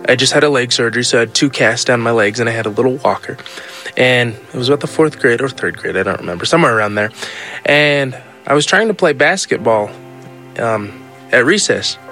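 Brief: man, average-pitched voice, easygoing and informal, lots of "like" and "you know", faint music behind. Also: fairly quick. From United States. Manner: relaxed, many fillers and "you know"s. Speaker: interviewee. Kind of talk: casual personal storytelling.

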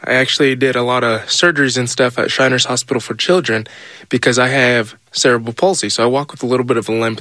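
A man in an interview, telling his own story in a relaxed, casual way with many fillers. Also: mid-range voice, no echo. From United States.

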